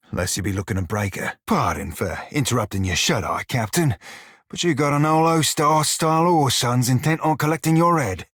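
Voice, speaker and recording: Husky rogue for a video game, sardonic sailor drawl, rough edges, real dry close recording. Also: male voice, medium pitch, fairly bright tone, dry recording. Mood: wary, incredulous. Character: roguish blue shark-kin pirate, salty, sardonic, foul-mouthed. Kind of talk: video game character dialogue.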